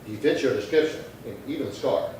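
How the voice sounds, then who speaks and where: A man playing a businesslike, deadpan police detective, delivering clipped dialogue and case narration in a 1950s-style radio crime drama. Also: medium pitch, fairly quick, lightly acted. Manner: clipped, deadpan, matter-of-fact. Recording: very echoey room, distant mic, faint noise.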